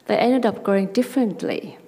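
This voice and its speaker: A woman from Vietnam with a Vietnamese accent, an artist speaking slowly and haltingly about her work at a public forum. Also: medium pitch, lively intonation, slightly rough. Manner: halting, searching for words, soft and reflective. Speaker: artist panelist. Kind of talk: talk with slides at a public forum. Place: arts centre hall. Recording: long hall reverb.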